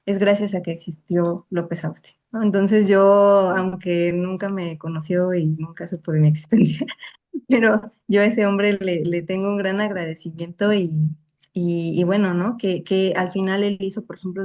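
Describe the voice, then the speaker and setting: A young woman with a lowish voice explains her research over a video call. She talks carefully, restarting phrases and checking in with her listeners. Her delivery is thoughtful and academic. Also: fairly even intonation, moderate pace, a little mellow. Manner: careful, restarts often, tags statements with a questioning "no". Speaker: invited lecturer. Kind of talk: academic talk and Q&A answer. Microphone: online video call.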